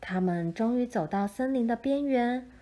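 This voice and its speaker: A woman narrating a children's picture book in a gentle, measured storytelling style. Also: on the high side, animated.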